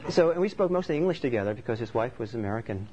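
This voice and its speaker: A man from Norway speaks as a meditation teacher, giving a dharma talk in a thoughtful, unhurried, conversational way. His voice sits at an ordinary middle pitch. The recording sounds slightly muffled, with a faint hiss of background noise underneath. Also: expressive, mellow.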